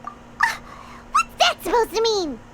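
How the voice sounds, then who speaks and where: A woman voicing a tiny, greedy, excitable fairy companion with a squeaky childlike voice in an anime game. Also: measured pace, very noisy, exaggerated voice. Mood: curious, cheeky. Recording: music playing behind.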